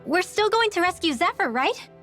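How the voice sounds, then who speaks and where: An earnest young rebel girl with a high, youthful pitch. She sounds determined and explanatory, a video game guide with faint music behind her. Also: animated, moderate pace, fairly bright tone.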